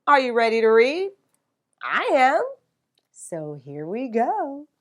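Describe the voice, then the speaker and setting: A woman's voice: a little high, huge pitch swings, slow. A woman hosting a children's read-aloud, speaking with dramatic emphasis and asking questions like a teacher. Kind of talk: expressive picture-book read-aloud for children.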